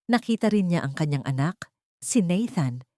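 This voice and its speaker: A woman's voice: lowish voice, lively intonation, normal speed, dark tone, no room sound, studio quality. A woman narrating a scripted audio story in a dramatic style.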